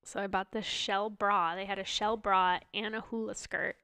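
A woman's voice: mid-range voice, little pitch movement, normal speed, pushed and bright, smooth, no room sound. A woman with a stuffed-up nose, co-hosting a podcast in casual, giggly chat. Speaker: podcast co-host.

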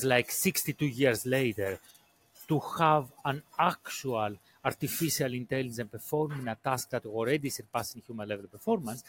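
Greek-accented man telling his story haltingly. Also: mid-range voice, a little hiss or hum. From Greece. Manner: halting, repeats words, restarts sentences. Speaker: podcast guest, AI director. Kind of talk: casual interview storytelling.

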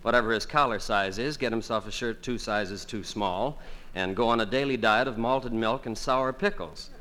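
A man with a bright, forceful voice delivering mock-serious advice as a singer guest on an old radio show. He keeps a straight face through the joke. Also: on the low side, moderate pace.

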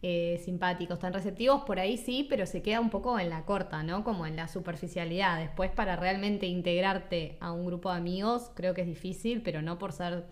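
A woman's voice: lowish voice, a little fast, few pauses. A woman from Uruguay with a Uruguayan accent, hosting a casual conversational interview. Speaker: podcast host.

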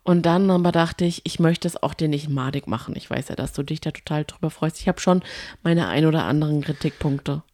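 A woman's voice: low voice, fairly quick, a little mellow, dry recording, clean studio sound. A woman chatting casually as a podcast co-host, with a playfully critical, teasing way of talking.

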